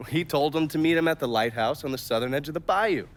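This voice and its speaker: A man's voice: on the high side, fast talker, close to an everyday voice. A man playing a wry, worldly intelligence fixer in a crime game, with a casual, dry and profane manner. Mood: dry, matter-of-fact.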